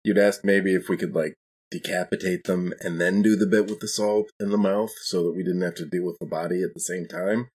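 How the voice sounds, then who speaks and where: Casting: male tabletop player, low-pitched and husky, casual conversational delivery. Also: fairly even intonation, fairly quick, darkish tone. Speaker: tabletop role-playing game player. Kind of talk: casual in-game chat.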